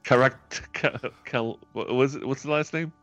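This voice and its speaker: A man's voice: medium pitch, a little slow, fairly bright tone, no room sound, highs cut off, faint music behind. A man acting as game master, chatting and narrating casually during a tabletop roleplaying game.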